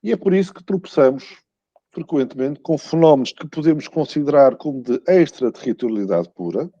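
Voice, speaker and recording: A man with a European Portuguese accent giving a formal, courteous academic talk over a video call, often halting and repeating words. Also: mid-range voice, lively intonation, mellow, dry recording. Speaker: academic guest speaker giving a lecture. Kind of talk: formal conference talk, semi-scripted. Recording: muffled, dull video-call audio.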